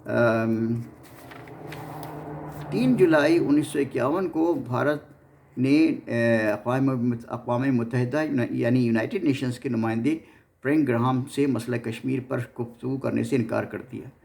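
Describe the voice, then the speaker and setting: A man's voice: mid-range voice, unhurried, gravelly, a little mellow. A man with a Pakistani accent hosting an informal history narration, often stumbling and repeating his words as he goes. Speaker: host of a history series.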